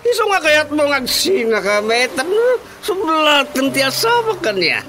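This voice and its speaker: An actor playing a jealous, drunken, accusing husband in a Filipino comedy radio drama, with a Filipino accent. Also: male voice, very high voice, sing-song, a little slow, heavily acted. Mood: angry, accusing.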